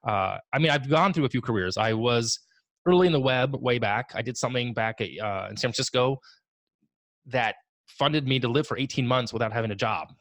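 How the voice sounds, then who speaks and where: A man in his forties on a video call from his home office, talking in a relaxed, rambling, self-deprecating way. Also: mid-range voice, brisk, no echo. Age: 40s. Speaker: guest. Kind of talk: casual video call interview.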